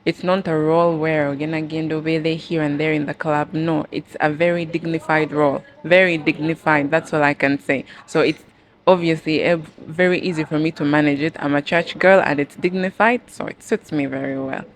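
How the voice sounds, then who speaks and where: A woman's voice: sits low, fairly even intonation, brisk. A young woman answers interview questions as a pageant winner, switching languages casually and telling stories with acted-out dialogue. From Uganda. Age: young adult. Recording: clearly noisy, with ambient background sound.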